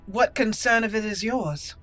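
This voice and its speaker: A woman's voice: mid-range voice, unhurried, a bit bright, soft background music, natural voice. A woman voicing a proud young dwarven noble lady in formal, imperious speech for a fantasy game roleplay. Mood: indignant, proud. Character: haughty young dwarven noble lady, elven-born, self-assured.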